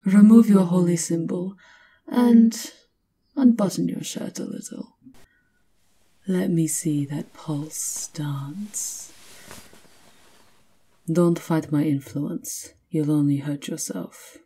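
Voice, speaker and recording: A low-voiced vampire countess, acted by a woman, aristocratic and possessive. She speaks very slowly in a dark, breathy purr, mocking and seductive, often sinking toward a whisper as in an intimate ASMR roleplay. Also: steady tone, full character voice. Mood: commanding. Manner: slow, theatrical, taunting purr, often dropping to whispers.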